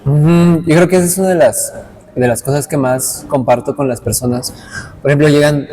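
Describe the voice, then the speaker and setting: A man's voice: fairly high pitch, steady tone, fairly quick, mellow, a little hiss or hum, poor audio. A man in his late twenties from Veracruz, a dance teacher, talking reflectively and earnestly in a casual interview. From Veracruz, Mexico. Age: late 20s. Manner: reflective, earnest, with frequent restarts.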